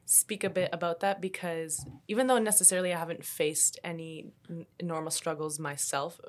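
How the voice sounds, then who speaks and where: A young woman from Colombia talks on a podcast with a fairly low voice. She thinks out loud in a measured way, often checking in with a "you know". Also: fairly even intonation. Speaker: podcast host. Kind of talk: casual podcast conversation.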